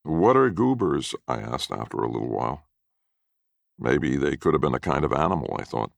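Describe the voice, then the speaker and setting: A man's voice: extremely low pitch, a little fast, vocal fry, no echo. A man narrating a first-person short story expressively in a folksy storytelling manner.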